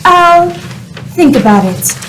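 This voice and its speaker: A woman's voice: very high voice, very animated, takes it slowly, music in the background, heavily acted, low-quality recording. A woman playing a polite, matter-of-fact, unsympathetic ship's computer in a comedic radio play. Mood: cool. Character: calm, unsympathetic ship's computer with artificial intelligence.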